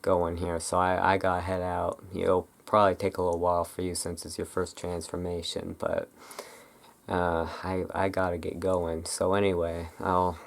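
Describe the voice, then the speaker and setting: An ASMR host chatting casually in a rambling, halting way, as in an informal roleplay. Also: sits low, completely flat delivery, vocal fry.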